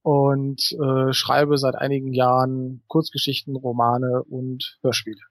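A man's voice: medium pitch, level tone, measured pace, no echo. A middle-aged man speaking as an interview guest, telling anecdotes in a conversational style.

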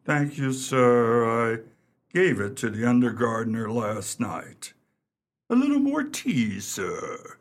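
A man's voice: medium pitch, sing-song, takes it slowly. A man acting in a radio play as an unflappable, deferential English valet who speaks formally and with discreet opinions. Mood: deferential, dry.

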